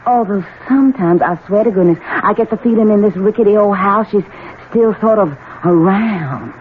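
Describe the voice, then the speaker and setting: An actress with an American Southern accent playing a spoiled, scheming young bride, coquettish and imperious, in a 1970s radio drama. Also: female voice, mid-range voice, normal speed, phone-quality sound, lightly acted. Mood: coy, wheedling. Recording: band-limited, noisy old radio recording.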